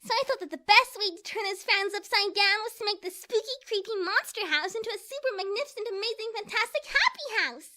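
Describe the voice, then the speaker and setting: A giddy female party pony for a cartoon-style story. The voice is squeaky high, swooping and very bright, playful and full of excitement. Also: fast talker, hardly any pauses, heavily acted. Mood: bubbly, proud. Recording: music behind the voice.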